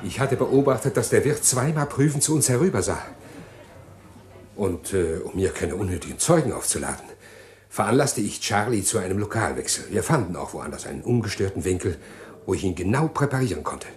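An actor playing an anxious condemned man in a German radio crime play, speaking haltingly and formally as he confesses. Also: fairly low pitch, big pitch swings, darkish tone. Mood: halting, reflective. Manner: halting, stammering, formal wordy speech. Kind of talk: 1950s to 80s German radio crime drama acting.

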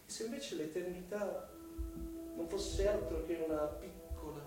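An actor playing a scheming, lecherous middle-aged landowner in a radio drama, speaking in a theatrical, insinuating way with pauses and hushed words. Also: male voice, high-pitched. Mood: brooding, hushed. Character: scheming, lecherous widowed male landowner, insinuating and self-justifying. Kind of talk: Italian radio drama acting. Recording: very echoey, distant voice over music.

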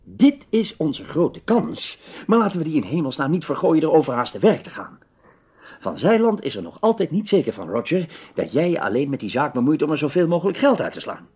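An actor in a radio drama playing a shrewd, protective adventurer who speaks emphatically and deliberately, with a husky voice. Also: male voice, fairly high pitch, very animated, darkish tone. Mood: shrewd, insistent. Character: shrewd, protective male adventurer, awkward with women.

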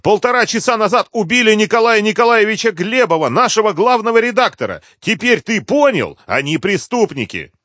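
A man's voice: fairly high pitch, sing-song, moderate pace, a bit bright, no echo, clean studio sound, voice pushed up. A man voicing a desperate, insistent young woman journalist in an audiobook. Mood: accusing, urgent. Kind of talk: audiobook character dialogue.

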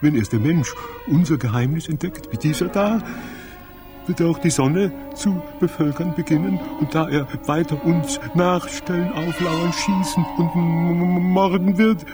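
A man's voice: fairly high pitch, a little slow, over music, natural voice. An actor playing an embittered male bird, speaking with insistent, accusing urgency in a radio play. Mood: urgent, insistent. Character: embittered wounded male bird demanding the human's death.

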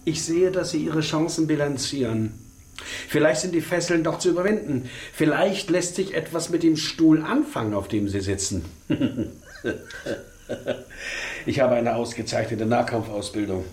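An actor playing a menacing, grandiose East German secret police colonel in a radio drama, pompous and theatrical. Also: male voice, a little high, moderate pace, natural voice. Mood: menacing, gloating. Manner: pompous, theatrical, swinging from soft menace to outbursts.

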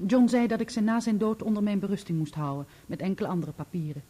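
A woman's voice: medium pitch, animated, fast talker, mellow, lightly acted. An actress playing a guarded, dignified woman witness in a Dutch radio play, answering with restraint and precision. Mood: composed, regretful. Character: composed, guarded woman witness testifying in court.

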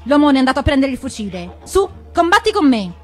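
Storybook mongoose heroine, played by a woman: brave, taunting, high-pitched, chanting her boasts. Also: very animated, fast talker, faint music behind. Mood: defiant. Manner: crowing, taunting, chanting her own name. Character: bold, taunting, triumphant young female mongoose warrior.

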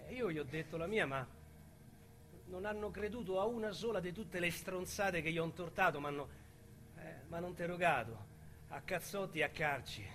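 A rough young Roman street criminal making a confession in a radio play. His voice is high and bright, with a sharp edge. He tells it vividly in thick slang, halting and agitated. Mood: subdued, bitter. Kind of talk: dramatic radio monologue, colloquial storytelling.

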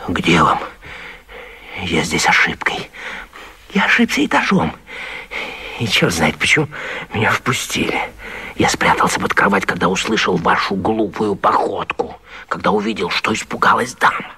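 A haughty, mocking young man in a stage farce. His voice is lowish and very husky, breaking into breathy hisses, with animated swings as he scolds. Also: normal speed, long pauses, exaggerated voice. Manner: scolding, sarcastic, hissing half-whispers. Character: mocking, indignant young intruder, haughty and hot-tempered. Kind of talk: theatrical radio comedy acting.

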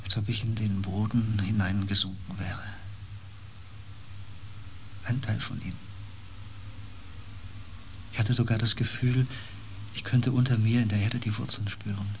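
A haunted, fearful space explorer speaks in a lowish voice. The delivery is brooding and breathy, full of intensity, in radio play style over faint ambient noise. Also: male voice, level tone, a little slow, long pauses, low-quality recording. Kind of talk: German science fiction radio play acting.

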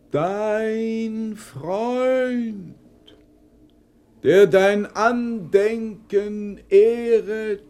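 Very slow, high-pitched ghostly conjurer. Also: male voice, mellow, exaggerated voice. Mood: solemn, ceremonial. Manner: declamatory, ceremonial, drawn-out delivery. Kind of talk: theatrical German radio drama acting.

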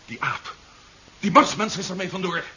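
A man's voice: high-pitched, normal speed, pushed and bright. An actor playing an urgent, suspicious man warning of alien invaders in a 1970s science fiction radio drama, dramatic and emphatic. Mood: alarmed. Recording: dull, faintly noisy 1970s radio recording.

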